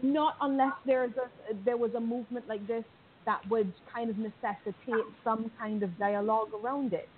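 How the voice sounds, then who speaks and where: A woman from Barbados, a panel guest speaking in a measured, articulate way. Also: fairly high pitch, steady tone. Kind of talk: radio panel discussion. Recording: phone-like line with faint hiss.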